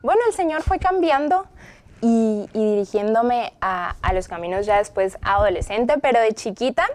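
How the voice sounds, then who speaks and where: A woman's voice: fairly high pitch, lively intonation, normal speed, darkish tone. A Colombian woman in her twenties with an Arauca accent, a podcast guest who is cheerful and chatty and laughs often, opening with a prayer before talking casually. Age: 20s. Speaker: podcast guest, former seminary student. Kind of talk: opening prayer, then casual interview chat.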